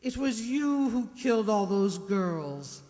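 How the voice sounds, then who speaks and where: An actress playing an ailing, stern older stepmother in a radio play, with halting, weighty delivery. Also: medium pitch, sing-song, noisy background. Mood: stern, accusing. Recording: echoey hall, long reverb.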